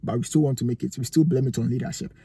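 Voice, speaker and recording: Husky Ghanaian man preaching to camera. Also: mid-range voice, steady tone, very fast, mellow, no room sound. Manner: emphatic, preachy, asks rhetorical questions. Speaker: vlogger giving life advice. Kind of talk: motivational talk to camera. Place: cold room indoors, near a heater.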